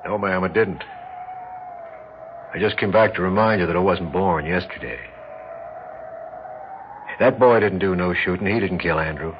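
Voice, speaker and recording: Rural Southern lawman played by an actor, deeper register, easygoing and courteous with a point, noisy vintage radio recording. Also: normal speed, pauses often, close to an everyday voice. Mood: quietly accusing. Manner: easygoing, folksy, polite but pointed. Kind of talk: 1960s radio drama acting. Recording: dull, slightly noisy 1960s radio recording.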